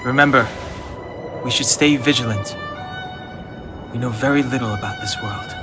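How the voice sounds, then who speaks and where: A man playing a cool, reserved, watchful young adventurer in an anime game, speaking in a measured, dry way. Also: medium pitch, close to an everyday voice. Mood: wary, serious. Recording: music behind the voice.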